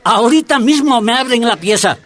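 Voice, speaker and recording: Un actor que interpreta a un dirigente sindical minero boliviano, protector e indignado, de hablar enfático y oratorio, en una radionovela. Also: male voice, very high voice, animated, moderate pace, fairly bright tone. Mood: indignant. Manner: emphatic, oratorical, repeats phrases. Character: fiery male Bolivian miners' union leader, indignant, protective. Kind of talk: radio drama acting.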